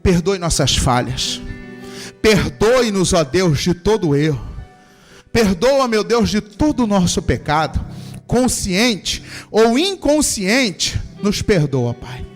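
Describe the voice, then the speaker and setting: A man's voice: sits high, very animated, slow pace, soft background music. A man, a pastor, leading a church in a fervent healing prayer and commanding sickness to leave. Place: church service.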